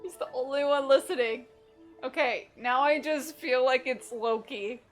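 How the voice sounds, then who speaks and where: High-voiced woman doing playful game commentary. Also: measured pace, soft background music. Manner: joking, voices mock quotes. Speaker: gaming commentator. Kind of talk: casual playful gameplay commentary.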